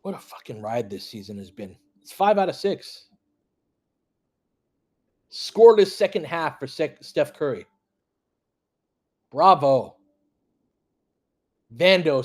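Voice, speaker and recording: A man's voice: on the high side, expressive, a little slow, pauses often. A man hosting a basketball live stream, mixing casual play-by-play with conversational, opinionated, sweary fan commentary. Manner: partisan fan reacting to plays and chat. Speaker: former high school basketball coach.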